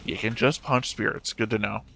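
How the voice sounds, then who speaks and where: A man casually commenting on an animated show as he watches, with dry, nitpicking asides. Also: lowish voice, a little fast, vocal fry, background noise. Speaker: reaction show host. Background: soundtrack of the show being watched.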